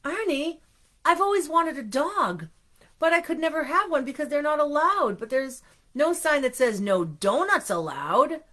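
A woman's voice: high voice, sing-song, moderate pace, fairly bright tone, voice pushed up. A woman voicing a kindly, apologetic man who wants a companion, in a children's storybook read-aloud. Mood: excited.

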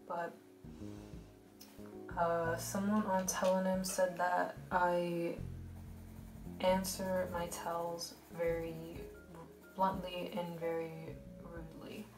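Monotonous, blunt young-sounding woman. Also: on the low side, slow, long pauses. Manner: flat, monotonous speech pattern; blunt and rambling, many fillers. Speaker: art vlogger. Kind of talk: casual chatty voice-over while drawing. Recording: roomy voice over background music.

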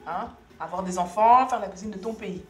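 Actress as blunt scolding housewife, roomy sound. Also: female voice, mid-range voice, a little fast, lightly acted. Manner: blunt, challenging. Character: blunt, scolding housewife impatient with intellectual talk. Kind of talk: naturalistic film dialogue. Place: dining room at a dinner table. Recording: roomy interior with music behind.

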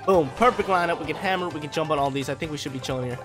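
Young male let's-play commentator, a bit hoarse, fast and chatty, somewhat high voice, headset mic in a room. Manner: hyped, chatty, slangy. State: slightly hoarse from a sore throat. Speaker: gaming YouTuber. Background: faint game music.

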